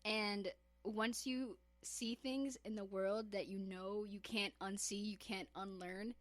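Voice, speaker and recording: A woman's voice: medium pitch, steady tone, slow pace, dry recording. A young woman co-hosting a podcast, talking through ideas in a reflective, casual way. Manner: reflective, builds ideas at length. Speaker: podcast co-host. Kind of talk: casual chat.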